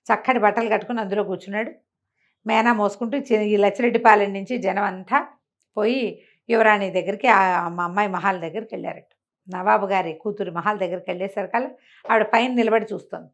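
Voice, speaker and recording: A woman telling a folk tale in an animated, conversational way, acting out the characters' exclamations. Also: mid-range voice, brisk. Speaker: storyteller. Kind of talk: animated oral folk storytelling to a listener.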